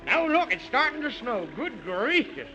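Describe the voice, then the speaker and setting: A fussy, excitable Man in the Moon for an old radio children's serial. The voice is very high and swoops in a lively, folksy way. The recording is dull and noisy. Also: normal speed, music in the background.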